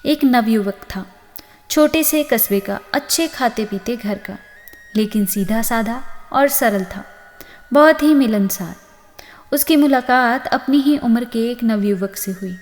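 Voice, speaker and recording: A woman narrating a scripted moral story as host, with an expressive storytelling delivery. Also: a little high, a little slow. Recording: long reverb on the voice.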